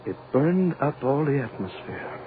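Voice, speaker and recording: An actor playing a grave, despairing older scientist, speaking solemnly and oratorically in 1940s radio drama style. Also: male voice, mid-range voice, sing-song, unhurried. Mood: bleak resignation. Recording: muffled, noisy 1940s radio recording.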